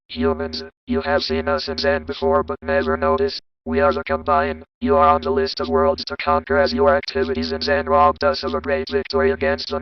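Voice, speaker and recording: A man's voice: extremely low pitch, smooth, no echo. A man voicing a cold, commanding alien conqueror who demands surrender. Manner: menacing, commanding. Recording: dull lo-fi sound.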